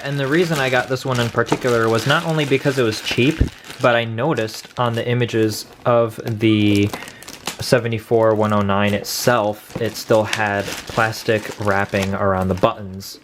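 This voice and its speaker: A young man casually hosting an unboxing video, informal and prone to swearing. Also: lowish voice. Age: young adult. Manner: informal, crude-joking, swearing. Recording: noisy room recording with clicks.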